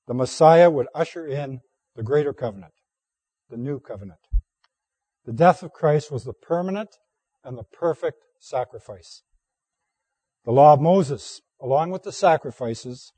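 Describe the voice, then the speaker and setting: A hoarse man preaching a sermon, reading scripture aloud at a measured, deliberate pace. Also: fairly high pitch, dark tone, no room sound.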